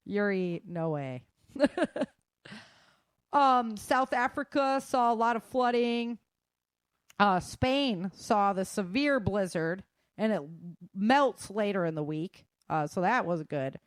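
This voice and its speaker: A woman's voice: medium pitch, smooth, no room sound. A woman hosting a show, running through news in an informal, slangy way with chatty asides. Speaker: host of a survival news show.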